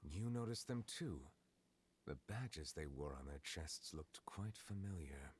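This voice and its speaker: A man playing a composed, dignified investigator in a video game, measured and formal in his delivery. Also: extremely low pitch, expressive, slight background noise. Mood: calm, observant.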